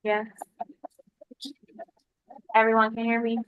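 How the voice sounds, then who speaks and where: A woman's voice: on the high side, monotone, takes it slowly, smooth, no room sound, phone-quality sound. A woman from the United States, a police lieutenant, giving an informal spoken report at a public meeting.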